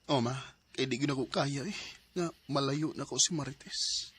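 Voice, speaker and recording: A man's voice: mid-range voice, normal speed, over loud music, close to an everyday voice. A man acting a lovelorn, earnest young man in a radio drama, with an emotional, pleading delivery. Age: young adult. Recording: noisy background ambience.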